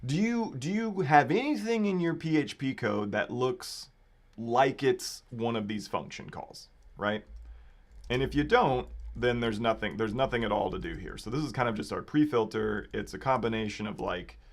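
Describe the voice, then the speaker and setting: A man with a slightly raspy voice giving casual live coding commentary, thinking aloud informally as a developer hosting a livestream. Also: mid-range voice, moderate pace. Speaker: livestream host and software developer. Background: computer keyboard typing and clicking.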